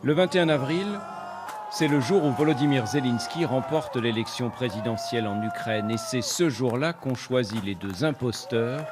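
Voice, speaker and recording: A man's voice: medium pitch, moderate pace, over music. A man from reading a scripted voice-over for a television news report.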